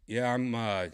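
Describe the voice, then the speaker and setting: Raspy, slow-talking tipsy man. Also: medium pitch. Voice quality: raspy, dry throat. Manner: rambling, slow, clears throat often. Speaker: comedian streaming host. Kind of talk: casual livestream chat.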